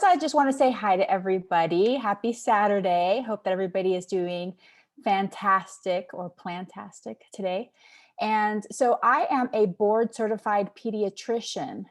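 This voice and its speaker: A woman in her forties from Texas, a pediatrician giving a friendly, encouraging webinar presentation over a video call. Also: medium pitch. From Texas, United States. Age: 40s. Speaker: pediatrician, guest speaker.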